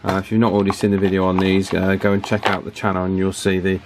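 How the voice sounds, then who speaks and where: A man giving a hands-on repair tutorial at a workbench in an informal, matter-of-fact way with hesitant pauses. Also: sits low, monotone, noisy background. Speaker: radio repair technician and presenter. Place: indoors at an electronics workbench.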